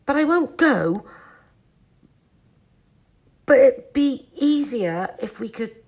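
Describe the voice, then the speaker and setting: A woman's voice: high-pitched, expressive, slow, a little mellow, full character voice. A woman playing a hurt, frustrated woman confronting someone close in a hesitant, weary dramatic monologue.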